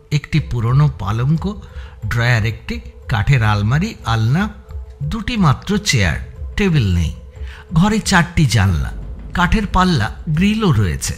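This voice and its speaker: A man narrating a scripted suspense audio story as its narrator. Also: a little high. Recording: music bed behind the voice.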